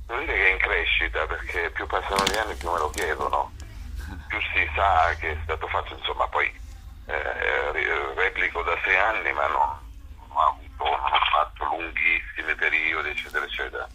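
Deep, rambling man on radio. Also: normal speed, bright, forceful tone, husky, poor audio. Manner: rambling, digressive, many fillers and restarts. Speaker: theatre author, director and actor as guest. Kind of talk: radio interview, discursive talk.

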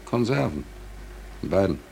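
An actor playing an embittered, hungry merchant seaman in a 1940s German radio play, speaking in a halting, brooding, insistent way. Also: low voice, very animated, vocal fry, a little mellow, heavily acted. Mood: hushed, uneasy. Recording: noisy 1940s radio drama recording.

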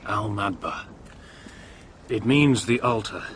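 Informed male traveller, radio play: lowish pitch, measured, explanatory, faint background noise. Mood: informative. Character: calm, knowledgeable male sightseeing companion. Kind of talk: radio drama acting.

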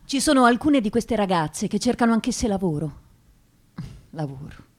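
A woman's voice: medium pitch, sing-song. An actress playing an educated, disdainful young woman of a fallen aristocratic family, choosing her words precisely in a radio play. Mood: disdainful, bitter. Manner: measured, precise, deliberate wording. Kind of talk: theatrical radio drama monologue.

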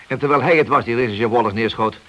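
A man's voice: mid-range voice, rapid-fire, sharp tone, dull audio, close to an everyday voice. A man acting in a radio play as a cunning, sardonic, mock-polite police detective who bends the rules. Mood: sardonic, needling. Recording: hissy old radio recording.

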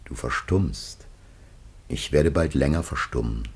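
An actor playing a grave, aged biblical patriarch facing death, declaiming solemnly and haltingly in a classic radio drama. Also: male voice, a bass voice, slightly rough, heavily acted. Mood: anguished, solemn.

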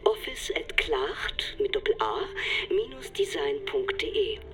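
An actress in a radio play voicing a friendly, composed neighbour leaving a businesslike phone message. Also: female voice, extremely low pitch, very animated, takes it slowly, a bit bright, whispered, full character voice. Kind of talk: naturalistic radio drama acting.

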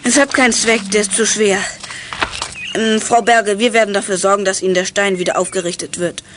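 A woman's voice: mid-range voice, fairly even intonation, fairly quick, noisy background, natural voice. A woman playing a clever, determined boy detective in a German children's audio drama, speaking earnestly and decisively.

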